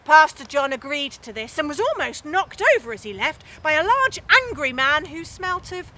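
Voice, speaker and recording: A woman telling a humorous medieval tale theatrically, with dramatic pauses and emphasis. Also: high voice, sing-song, bright, forceful tone, slight background noise. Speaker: storyteller.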